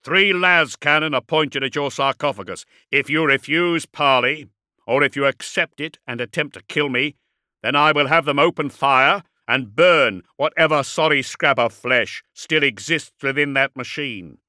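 Guy doing an ancient evil warsmith, audiobook villain, smug n goading, sharp projected voice. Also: medium pitch, unhurried, no room sound, clean studio sound, pitched above the performer's own voice. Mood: calculating threat. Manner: taunting, articulate, projected. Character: ancient villainous warsmith, mocking, cunning and defiant. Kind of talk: audiobook character acting.

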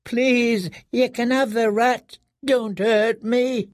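A man's voice: very high-pitched, steady tone, slow, no echo, studio quality, pitched above the performer's own voice. A man doing a whimpering broken captive. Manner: whimpering, pleading. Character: broken, terrified young male captive.

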